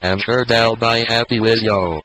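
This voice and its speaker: A man's voice: mid-range voice, fairly even intonation, measured pace, a bit bright, natural voice, rough recording. A man playing a stiff, formal army colonel who speaks through a voice synthesizer in an amateur audio drama. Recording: faint background noise, homemade.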